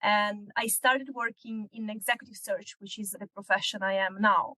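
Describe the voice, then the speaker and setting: Woman guest in her thirties, mid-range voice, measured explainer, dry recording. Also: medium pitch, fairly even intonation, sharp tone, dry recording, rough recording. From northern Italy. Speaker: guest, executive search consultant. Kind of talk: casual interview chat.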